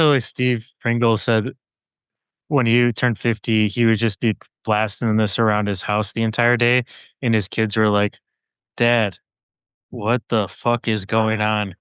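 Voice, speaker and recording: A man's voice: medium pitch, fairly bright tone, clean tone, dry recording, clean studio sound. A man co-hosting a podcast in casual chat about a record, laughing often and talking with easy enthusiasm. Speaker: podcast co-host.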